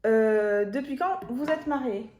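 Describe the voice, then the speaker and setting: A woman's voice: fairly high pitch, expressive, a little slow, darkish tone. A woman, chatting casually and playfully as she hosts a couple's Q&A vlog. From Marseille, France. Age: 20s. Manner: chatty, giggly, teasing. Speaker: vlog host, half of a couple channel. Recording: echoey room.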